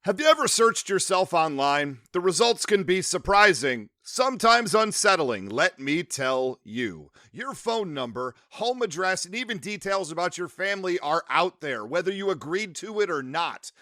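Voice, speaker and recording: A man's voice: high voice, sing-song, moderate pace, hardly any pauses, pushed and bright, dry recording, clean studio sound. A man giving a scripted podcast advertisement read in an emphatic, persuasive manner. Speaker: ad reader.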